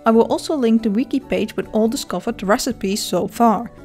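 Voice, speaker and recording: A woman's voice: on the high side, moderate pace, darkish tone, soft background music. Dutch-accented woman narrating a game guide. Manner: dry, self-mocking humor. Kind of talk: scripted voice-over game guide.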